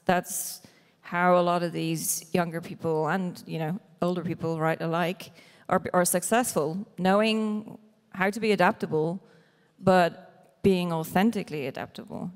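A woman's voice: fairly low pitch, fairly even intonation, normal speed. A woman in her forties on a conference panel, talking frankly and laughing often. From Ireland. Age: 40s. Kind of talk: panel discussion. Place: large conference hall stage. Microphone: handheld mic. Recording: reverberant hall.

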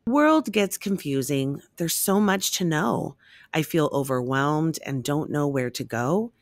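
A woman reading a rhyming children's picture book aloud in an expressive, measured storytelling manner. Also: sits low, dry recording.

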